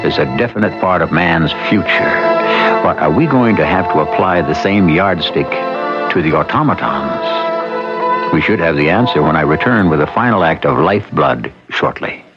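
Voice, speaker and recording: A man narrates as host of a vintage radio mystery. His deep voice is theatrical and measured, with lively inflection. Prominent music sits under the dull broadcast sound. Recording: band-limited old radio broadcast with music.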